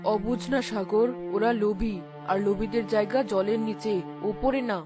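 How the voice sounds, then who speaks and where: A woman playing a menacing river spirit in a cartoon horror story, speaking slowly and ominously. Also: medium pitch, fairly even intonation, over music, lightly acted. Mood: ominous menace. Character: menacing river spirit passing judgement on the greedy.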